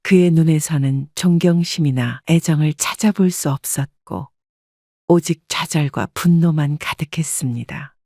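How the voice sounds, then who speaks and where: Steady woman narrating a family story. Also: low-pitched, unhurried, dry recording, clean studio sound. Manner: steady, even-paced storytelling. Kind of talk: scripted first-person story narration.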